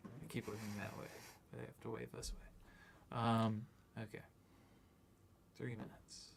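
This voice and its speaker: Low, gravelly-voiced man thinking aloud. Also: little pitch movement, measured pace, slight background noise. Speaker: streamer playing a board game. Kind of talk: casual game commentary chat.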